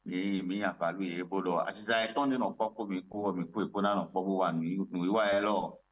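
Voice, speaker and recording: Over a dull, lo-fi connection, a man with a Beninese accent speaks in a fairly low voice. He is a traditional spiritual leader and interview guest, addressing listeners in a ceremonial, invocatory style. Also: fairly even intonation, moderate pace.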